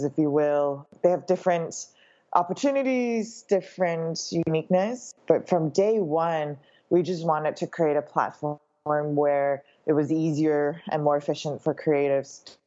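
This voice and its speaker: A woman's voice: low-pitched, dark tone. A woman born in Hong Kong and raised in Canada, giving thoughtful interview answers with many ums and uhs. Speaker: guest, founder of a company. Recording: slightly dull, lo-fi sound.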